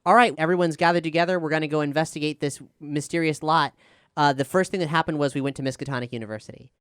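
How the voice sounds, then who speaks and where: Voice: medium pitch, fairly even intonation, quick pace, fairly bright tone, clear, resonant tone, no echo, clean studio sound. A showrunner explaining the making of an audio drama in a casual, conversational Q&A style. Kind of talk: casual panel Q&A, explaining production process.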